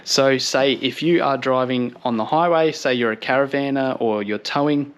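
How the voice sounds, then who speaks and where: A man's voice: mid-range voice, moderate pace, clear, resonant tone. An Australian man giving a casual product review to camera in an upbeat, approving manner.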